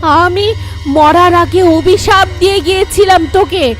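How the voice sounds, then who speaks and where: A woman's voice: very high-pitched, a little slow, soft background music. A woman voicing a vengeful, menacing female ghost of a murdered woman, taunting and cackling in a horror cartoon. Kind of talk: Bengali horror cartoon voice acting.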